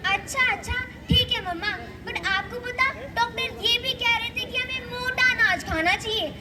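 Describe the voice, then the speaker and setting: A woman's voice: very high voice, normal speed, pushed and bright, full character voice. A young female performer playing a curious, fussy schoolgirl daughter in a school stage play, reciting her lines. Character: curious, fussy schoolgirl daughter who loves junk food. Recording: noisy live stage recording.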